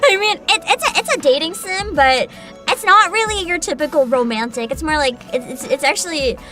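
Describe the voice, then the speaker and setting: A woman's voice: high-pitched, a little fast, faint music behind. A young woman streaming casually, answering chat questions with frequent giggles and a playful mock-boss attitude. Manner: giggly, animated, frequent laughter and restarts; playful mock-CEO boasting. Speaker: vtuber streamer.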